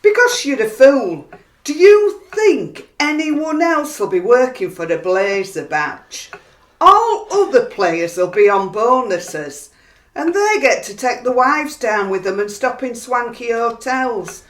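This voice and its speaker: In a roomy, echoing recording, a woman acts a resentful Yorkshire wife in a radio play. She is blunt and weary, speaking slowly with big swings of pitch. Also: very animated. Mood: scornful, hurt. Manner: blunt, scolding, turning weary. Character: downhearted, resentful working-class wife of a rugby player.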